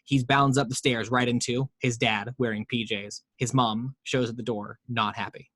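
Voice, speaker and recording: A man's voice: medium pitch, fairly even intonation, normal speed, fairly bright tone, no room sound. A man narrating screenplay action and scene directions as the reader in a scripted table read.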